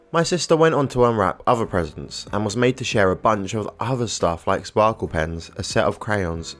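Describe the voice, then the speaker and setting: A man narrating online posts as scripted storytelling, with an even, matter-of-fact reading. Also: deep voice.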